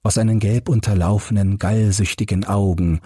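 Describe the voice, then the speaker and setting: A man narrating a classic crime story as an audiobook, in a measured storytelling manner. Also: lowish voice, fairly even intonation, dark tone, breathy edge, no echo, studio quality.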